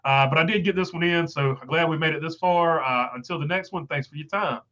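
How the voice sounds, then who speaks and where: A man's voice: fairly high pitch, a little fast, sharp tone, lo-fi sound. A stuffed-up man with a failing voice, hosting a casual livestream and rambling through viewer comments with many fillers. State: stuffed up, voice going. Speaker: livestream host reading chat. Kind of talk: casual live chat.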